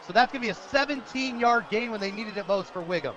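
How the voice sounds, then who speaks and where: A man with an American accent calling a live high school football game with an emphatic, excitable sportscaster delivery. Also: fast talker. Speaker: play-by-play radio announcer. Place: outdoor football stadium broadcast.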